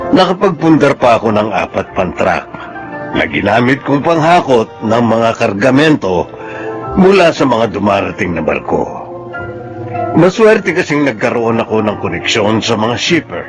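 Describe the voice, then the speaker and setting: A man's voice: mid-range voice, sing-song, measured pace. Boastful rich businessman; smug, self-satisfied, music underneath. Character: boastful rich trucking businessman, middle-aged man. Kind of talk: radio drama acting. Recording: radio drama with music underneath.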